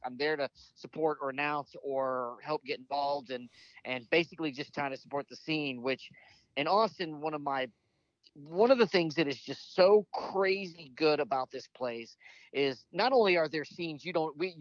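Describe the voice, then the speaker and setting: A man's voice: fairly high pitch, fairly bright tone, no room sound, lo-fi sound. Rambling, upbeat man in his fifties. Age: 50s. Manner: rambling, enthusiastic, trailing restarts. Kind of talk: casual podcast conversation.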